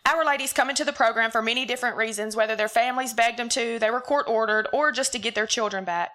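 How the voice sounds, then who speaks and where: A woman in her thirties from Mississippi, introducing a women's program and reading scripture in an earnest, steady way. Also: fairly high pitch, fast. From Mississippi, USA. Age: 30s. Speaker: program spokeswoman.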